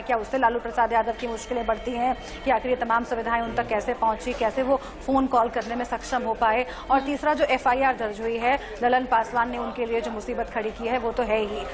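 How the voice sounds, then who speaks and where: A woman giving live, unscripted analysis as a news correspondent in a newsroom. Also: a little high.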